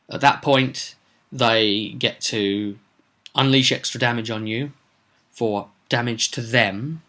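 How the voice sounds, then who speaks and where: A man's voice: mid-range voice, sharp tone. A man hosting a casual solo vlog as a game master, explaining his plans for a tabletop game in an emphatic way.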